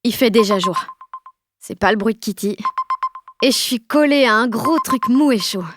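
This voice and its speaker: A woman's voice: on the high side, normal speed, music in the background, natural voice. A woman playing a rebellious, sardonic sixteen-year-old schoolgirl who tells her story in blunt, swearing slang in an audio drama. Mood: wry. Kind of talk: first-person audio drama narration in slangy speech.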